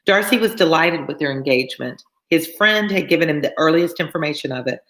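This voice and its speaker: A woman's voice: low-pitched, animated, fairly quick, fairly bright tone, rough recording. A woman tutoring on a live read-along of a classic novel, reading aloud and adding informal explanatory asides for listeners.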